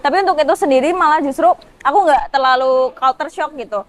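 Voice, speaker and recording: A woman's voice: sits high, fast, no room sound. A young woman from Java, talking colloquially as a student guest on a talk show. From Java, Indonesia. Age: young adult. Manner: chatty, colloquial. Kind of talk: casual talk show chat.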